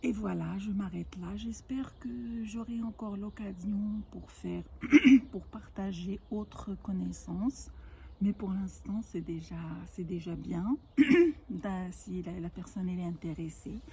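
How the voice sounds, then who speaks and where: Older woman, native Arabic speaker, unhurried patient teacher with a warm, dark tone. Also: medium pitch, gravelly. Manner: patient, explains each word slowly with small restarts. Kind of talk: home-made language lesson.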